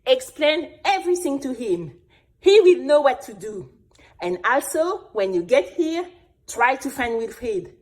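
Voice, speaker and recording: A woman playing a brave young girl who tells her own story in an expressive, exclamatory way for a children's audio drama. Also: sits high. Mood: urgent realisation. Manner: expressive, exclamatory, with drawn-out emphatic words.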